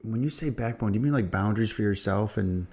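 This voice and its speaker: A man's voice: lowish voice, fast talker, a crowd in the background. A man co-hosting a podcast in casual, unscripted chat, with an irreverent manner and free swearing. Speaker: podcast co-host.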